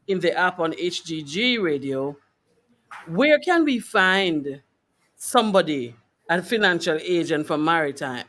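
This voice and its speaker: A woman's voice: lowish voice, a bit bright. A woman hosting a faith-based radio show in a conversational interview style.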